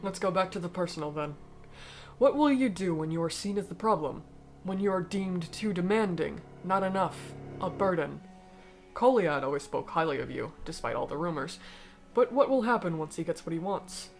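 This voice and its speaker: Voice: mid-range voice, animated, faint music behind, natural voice. A performer in a fan dub voicing a cold, aristocratic alien noble who speaks formally and eloquently, bitter yet persuasive. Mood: resentful, pointed. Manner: formal, eloquent, measured speech. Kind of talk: fan-dub voice acting of comic dialogue.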